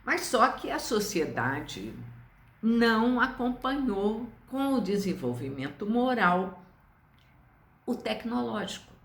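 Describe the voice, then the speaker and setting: A woman in her seventies with a Brazilian accent, giving an informal religious lecture to online viewers in a measured, didactic way. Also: a little high, big pitch swings. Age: 70s. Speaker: spiritist study lecturer. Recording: echoey room.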